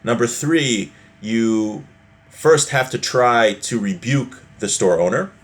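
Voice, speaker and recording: A man's voice: mid-range voice, slow. A man giving an informal religious law lesson, reading from a text and explaining it with everyday hypothetical examples. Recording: noticeable background noise.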